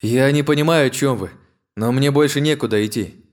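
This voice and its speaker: A man's voice: medium pitch, a little fast, voice pushed up, lightly acted. A narrator voicing a wary, defensive Russian man with a sarcastic streak. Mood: pleading.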